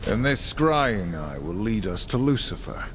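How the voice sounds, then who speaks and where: A man's voice: fairly low pitch, sing-song, a little slow. Stern horseman warrior over music. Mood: threatening. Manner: solemn, commanding, formal, humourless. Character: stern, dutiful male horseman of the apocalypse. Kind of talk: video game cutscene acting.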